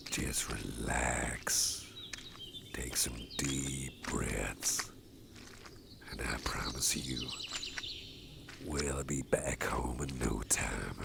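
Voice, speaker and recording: A man with a very deep, gravelly voice whispers a gentle ASMR roleplay, playing a caring boss who carries the listener home. He speaks slowly and soothingly, mostly in a breathy hush. Loud outdoor nature sounds with birds fill the background. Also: very noisy. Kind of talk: soft-spoken ASMR roleplay. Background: rural outdoor sounds with birds.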